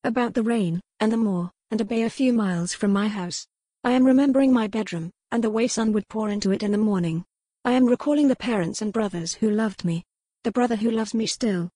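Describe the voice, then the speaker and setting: A woman's voice: medium pitch, a little fast, dry recording, natural voice. A woman voicing a spirited, homesick young noblewoman held captive in a romance audiobook, speaking earnestly. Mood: wistful. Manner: soft, earnest, reproachful. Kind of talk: romance audiobook character dialogue.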